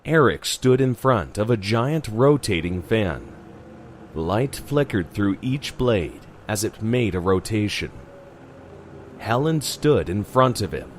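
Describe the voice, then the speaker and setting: A man's voice: fairly low pitch, very animated, slow, a little hiss or hum. A man narrating a scripted horror drama as its storyteller.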